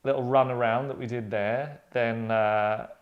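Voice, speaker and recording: Man, 30s, mid-pitched, casual and dry, rambling host in a relaxed group discussion. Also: unhurried, phone-quality sound. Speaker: writer and host. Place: furnished indoor lounge.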